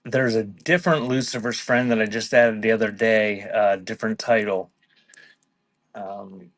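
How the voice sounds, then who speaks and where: A man's voice: fairly low pitch, a bit bright, no room sound. A man hosting a live online auction, chatting casually in a laid-back, conversational way as he presents items. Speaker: live auction seller and host.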